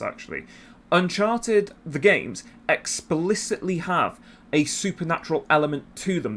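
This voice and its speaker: A man's voice: a little high, fairly bright tone. A man hosting a YouTube channel, giving casual commentary in a conversational way with self-deprecating asides. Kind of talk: casual video essay commentary.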